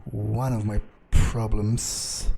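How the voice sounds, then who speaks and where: A man's voice: on the low side, little pitch movement, very slow, creaky, dark tone, full character voice. A man playing a wary, foul-mouthed vampire in a game playthrough, thinking aloud in a hesitant mutter. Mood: tense muttering. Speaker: let's player. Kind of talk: in-character gameplay commentary, thinking aloud. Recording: noticeable background noise.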